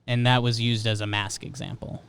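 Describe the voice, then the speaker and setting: A man with a smooth, clean voice of medium pitch talks as a podcast co-host. His delivery is casual, conversational banter. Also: level tone, a little fast, a bit bright.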